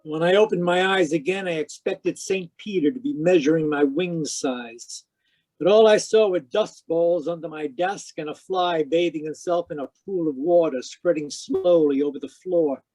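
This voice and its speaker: A man's voice: on the high side, moderate pace, natural voice, rough recording. A man playing a sardonic, wisecracking private detective, narrating an old-time radio mystery in a wry scripted style.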